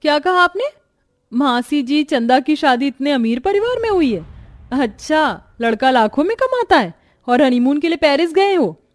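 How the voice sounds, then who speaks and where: A woman voicing a sharp-tongued, scheming middle daughter-in-law in a cartoon, with a sly, teasing manner. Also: high voice, very animated, normal speed, no echo, faint music behind, pitched above the performer's own voice.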